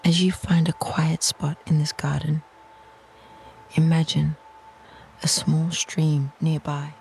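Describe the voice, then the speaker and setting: This is a guided meditation narrator with a creaky, fry-laden voice. The delivery is slow, soft and soothing, close to a whisper, with little melodic movement. A faint ambient noise sits behind the speech. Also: medium pitch, little pitch movement, low-quality recording.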